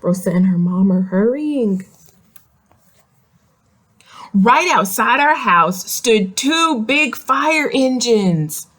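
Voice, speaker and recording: A woman's voice: on the high side, lively intonation, takes it slowly, long pauses, fairly bright tone. A woman reading a picture book aloud to young children, expressively, with simple questions and explanatory asides. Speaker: read-aloud storyteller.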